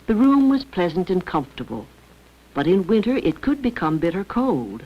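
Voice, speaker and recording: Female narrator for educational film, scripted read, lowish pitch, muffled old-film recording. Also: very animated, moderate pace.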